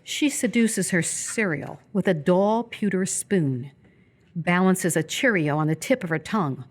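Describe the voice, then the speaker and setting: Female narrator, slightly low register, measured descriptive delivery of scripted stage directions for live radio theatre. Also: expressive.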